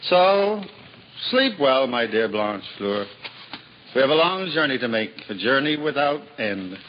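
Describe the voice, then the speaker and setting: An actor playing a humble, simple-hearted juggler in a 1950s radio drama, earnest and self-deprecating. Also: male voice, unhurried, a little hiss or hum. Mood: humble, tender. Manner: earnest, self-deprecating, lively swings in pitch. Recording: dull old radio broadcast with hall reverb and music.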